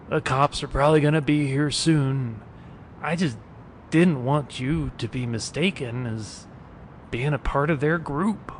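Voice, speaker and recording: A man voicing a wry, taunting street bum and vigilante sidekick in an animated series, mock-polite and sardonic. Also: natural voice. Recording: clear low rumbling background noise.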